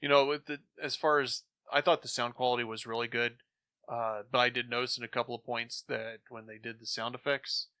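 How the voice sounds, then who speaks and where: A man's voice: medium pitch, little pitch movement, a little fast, sharp tone, smooth, no room sound. A man speaking casually as a podcast panelist, discussing and reviewing. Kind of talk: casual discussion of radio drama.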